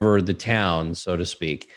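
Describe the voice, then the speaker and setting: A man hosting a casual interview, speaking in a measured, reflective way and trailing off at the ends of phrases. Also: sits low, fairly even intonation. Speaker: host.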